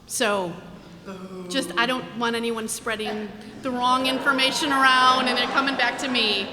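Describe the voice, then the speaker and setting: A woman's voice: on the high side, steady tone, moderate pace. American woman hosting in an echoey hall. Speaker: host introducing a stage show. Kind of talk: informal spoken introduction to an audience. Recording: echoey hall, some background noise.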